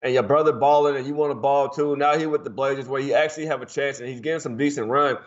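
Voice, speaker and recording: A man's voice: medium pitch, steady tone, fast talker, dull audio. A man from Portland, Oregon, hosting a casual sports betting show with loose, slangy banter.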